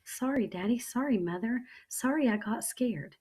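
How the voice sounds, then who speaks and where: A woman voicing an excitable, dramatic little girl who shrieks and chatters breathlessly. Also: a little high, normal speed, breathy edge, pitched above the performer's own voice. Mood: tearful. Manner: shrieking, bubbly, breathless exclamations.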